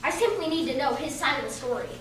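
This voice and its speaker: A woman's voice: high voice, animated, fast, fairly bright tone. A woman playing a polite, methodical male detective in an amateur radio play. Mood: inquiring. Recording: very echoey room, distant mic, faint hiss.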